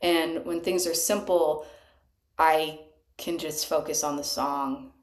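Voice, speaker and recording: Soft-spoken young woman in an echoey room. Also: steady tone. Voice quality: soft higher voice. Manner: soft, halting, earnest, with sighs and small laughs. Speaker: small channel singer. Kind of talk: casual confessional vlog. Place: bathroom. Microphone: cheap microphone. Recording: echoey room, cheap mic.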